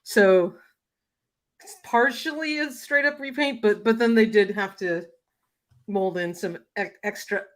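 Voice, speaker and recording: A woman's voice: medium pitch, unhurried. Chatty, laughing woman co-host. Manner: chatty, laughs often, many fillers. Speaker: co-host of a toy livestream. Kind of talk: casual chat.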